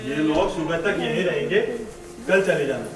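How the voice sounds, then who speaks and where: A fatherly chieftain of a nomad band, acted by a man on stage, protective and gently cautioning. The voice comes from far off in a very reverberant auditorium. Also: on the high side, moderate pace, close to an everyday voice. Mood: concerned, protective. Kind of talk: Hindi stage play acting. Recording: distant, very echoey auditorium recording.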